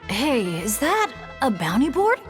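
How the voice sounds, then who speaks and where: A woman's voice: high voice, wildly swooping pitch, measured pace, faint music behind, full character voice. A woman voicing an earnest, polite and encouraging young adventurer in a video game, sincere and courteous. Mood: concerned.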